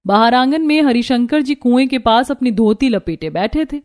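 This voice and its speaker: A woman narrating a scripted cartoon family story in an expressive storytelling manner. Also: fairly high pitch, moderate pace.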